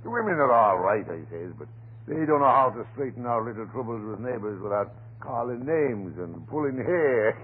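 An actor playing a chatty middle-aged police sergeant and neighbor in a 1930s radio drama, talking in a folksy, garrulous way. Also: male voice, mid-range voice, lively intonation, normal speed, natural voice. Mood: folksy, confiding. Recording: scratchy, hissy, narrow-band 1930s radio recording.

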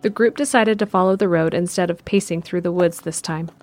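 Lower-pitched woman narrating an audio drama. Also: fairly quick, darkish tone. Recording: faint music under the voice.

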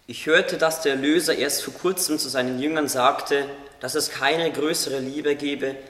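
A somewhat high voice comes from a little way off in an echoing hall. It is a playful, loyal bird played by a man in a radio play. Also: fairly even intonation, normal speed, a bit bright, close to an everyday voice. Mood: earnest. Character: playful teasing bird, earnest loyal friend. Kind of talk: German radio play acting. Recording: distant, echoey hall.

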